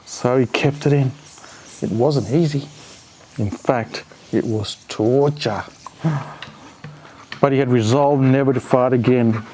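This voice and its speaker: A man's voice: medium pitch, steady tone, slow, long pauses, warm tone, a little hiss or hum, poor audio. A man reading a children's story aloud expressively, with playful, chatty asides to a pet. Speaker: storyteller reading a picture book to a dog.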